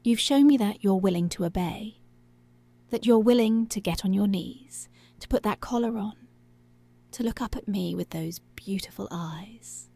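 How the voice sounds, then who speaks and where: A woman playing a commanding, seductive policewoman in an intimate ASMR roleplay, speaking slowly and deliberately in a breathy voice that often drops to a whisper. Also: medium pitch, dark tone. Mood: controlling, possessive. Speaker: ASMR voice actor.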